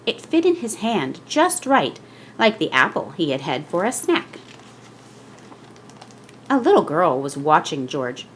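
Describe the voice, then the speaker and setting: A woman reading a children's picture book aloud as scripted narration, with expressive storytelling delivery. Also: fairly low pitch, slight background noise.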